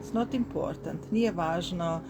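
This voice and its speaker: Patient, low-voiced woman teacher. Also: a little slow, creaky, heavy background noise. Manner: patient, encouraging, speaks simply for learners. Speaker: language school teacher. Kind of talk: interview answers in simple Croatian.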